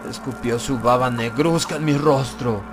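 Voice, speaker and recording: Loud music runs beneath a man narrating a horror audiobook. His voice sits at a medium pitch. He reads in a grave, theatrical way, building suspense as a first-person storyteller. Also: moderate pace. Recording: loud background music under the voice.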